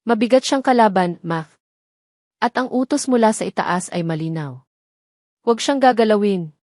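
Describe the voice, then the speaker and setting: A woman narrator plays an older police general in an audio drama. He speaks gravely and with command, a father figure with a stern, cold edge. The sound is dry and close. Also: mid-range voice, animated, normal speed, darkish tone, no echo, natural voice. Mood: grave warning.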